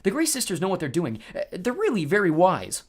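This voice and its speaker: A woman's voice: mid-range voice, very animated, moderate pace, voice pushed up. A woman voicing an earnest young girl companion in an audiobook, anxious and bossily urgent. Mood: uneasy reassurance. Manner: anxious, bossy urging.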